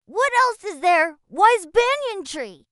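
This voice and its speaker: A woman's voice: extremely high pitch, big pitch swings, takes it slowly, fairly bright tone, no room sound, clean studio sound, full character voice. A woman voicing a young, cheeky shepherd boy in a cartoon fairy tale, with a childlike delivery. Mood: curious. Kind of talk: animated fairy tale character acting.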